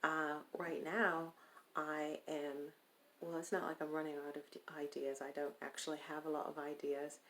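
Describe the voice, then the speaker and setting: A woman from California vlogging casually while speaking in a deliberately put-on accent, rambling and hesitant with many pauses. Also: low voice, flat delivery, a bit bright, a little hiss or hum. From Stockton, California. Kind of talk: casual video chat.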